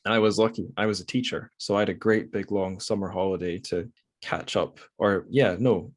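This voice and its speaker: A man's voice: fairly low pitch, fairly even intonation, a little fast, no echo, studio quality. A man with a Scottish accent hosting a podcast, talking casually and reflectively about books in a rambling, wry, self-correcting way. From Scotland. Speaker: podcast host. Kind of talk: casual reflective book discussion.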